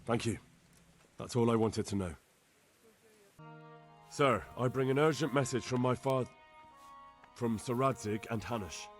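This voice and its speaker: A man's voice: mid-range voice, a little slow, pauses often, faint music behind, lightly acted. An actor playing an earnest, dutiful young man reporting to his lord in a video game, plain-spoken and purposeful. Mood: earnest, urgent. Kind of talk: dramatic video game acting.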